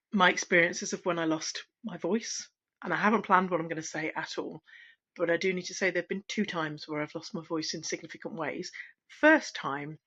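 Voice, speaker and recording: A middle-aged woman, a singing teacher, talking candidly at home in a relaxed, unscripted vlog, pausing and restarting as she reflects. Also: lowish voice. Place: at home, wrapped in a blanket. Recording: dull, lo-fi sound.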